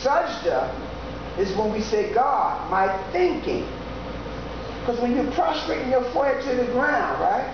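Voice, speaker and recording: A preacher giving an informal sermon, talking slowly and conversationally with frequent "yeah" and "you know". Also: mid-range voice. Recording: echoey, dull recording with steady background noise.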